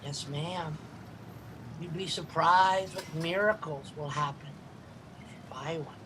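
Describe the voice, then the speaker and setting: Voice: medium pitch, slow pace, background noise, close to an everyday voice. An actor playing a cunning young boy who peddles with earnest, wheedling patter in a radio comedy.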